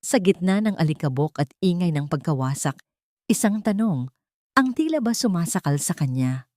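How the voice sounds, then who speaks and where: A woman narrates a dramatic audio story in a fairly low voice. Her delivery is solemn and measured, like literary storytelling. The sound is dry, with very little room in it. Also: sing-song, a little mellow, no room sound.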